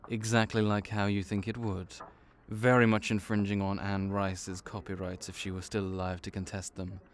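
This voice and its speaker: A man's voice: fairly low pitch, little pitch movement, normal speed, a little hiss or hum, lightly acted. A man voicing a laid-back vampire clerk and mentor in an audio roleplay, dry and wry as he speaks to the listener. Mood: easygoing.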